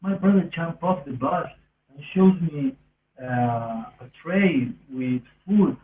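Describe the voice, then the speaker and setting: A man's voice: fairly high pitch, expressive, slow pace, slight background noise. Podcast storyteller: male, Argentinian accent, native Spanish, earnest and meandering, thin phone-like audio, far from the mic. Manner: earnest, rambling, non-native English phrasing. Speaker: podcast speaker. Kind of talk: casual autobiographical storytelling. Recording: roomy, distant, phone-like thin sound.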